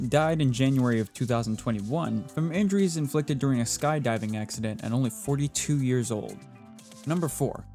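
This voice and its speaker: A man's voice: medium pitch, darkish tone. A man narrating a scripted facts video in a chatty, theatrical way, with playful asides. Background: background music.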